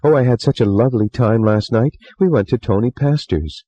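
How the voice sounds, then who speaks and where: A man's voice: mid-range voice, brisk, warm tone, no room sound, pitched above the performer's own voice, close to an everyday voice. A man voicing a merry young woman, an artist's model who chatters and gossips, in a muffled, lo-fi recording. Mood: cheerful.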